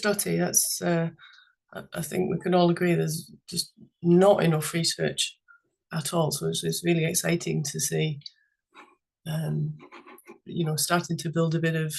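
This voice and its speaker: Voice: medium pitch, level tone, unhurried, gravelly, husky. A webinar moderator thanking a speaker and welcoming the next panelist, upbeat with some stumbles.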